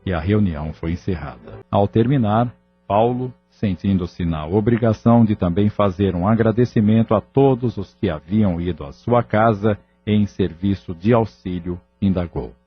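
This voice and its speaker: A man's voice: on the low side, normal speed, husky. A Brazilian man reading scripted narration for an audio novel in a solemn, formal way. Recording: dull lo-fi audio with music underneath.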